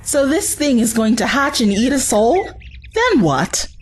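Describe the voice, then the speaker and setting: A woman's voice: high voice, big pitch swings, unhurried, a little hiss or hum. A woman playing a blunt young adventurer's companion in an audio drama, direct and questioning. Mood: urgent, wary.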